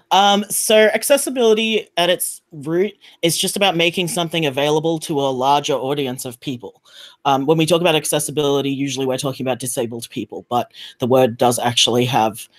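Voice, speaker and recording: A man's voice: fairly high pitch, fairly quick, dry recording. A man talking casually and explaining things as a panelist on a remote video call. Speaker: podcast panelist.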